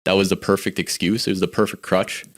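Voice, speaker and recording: A man's voice: sits low, little pitch movement, quick pace. A Canadian man in his forties, a podcast guest, talking candidly and reflectively about his life, often restarting his sentences. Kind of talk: casual personal storytelling.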